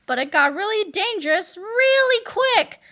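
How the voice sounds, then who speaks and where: Woman, very high voice, bright, playful, animated, reading a kids' book aloud. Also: measured pace. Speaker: reader.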